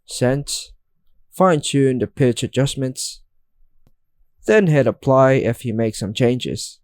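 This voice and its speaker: Slow-paced man narrating a dry tutorial. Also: mid-range voice, darkish tone, dry recording. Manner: instructional, step-by-step, short separated phrases. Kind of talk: scripted how-to narration.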